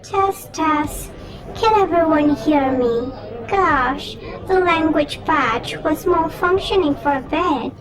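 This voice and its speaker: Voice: sits high, takes it slowly, a crowd in the background. An actor playing a small, cute, fluffy goblin creature in an audio drama, mock-polite and childlike with a sinister edge. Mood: chirpy. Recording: echoing voice under loud music.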